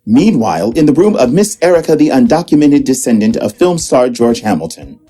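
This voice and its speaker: A man's voice: medium pitch, very animated, brisk, mellow, slightly rough. A man narrating a comedic audio drama as a wry storyteller.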